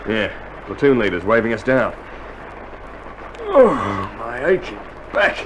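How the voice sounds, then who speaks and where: An actor playing a seasoned, gruff older Australian infantryman in 1960s radio drama style, trading slangy, wry banter. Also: wildly swooping pitch, measured pace. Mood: wry, weary. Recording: noisy old vinyl radio drama, battle rumble.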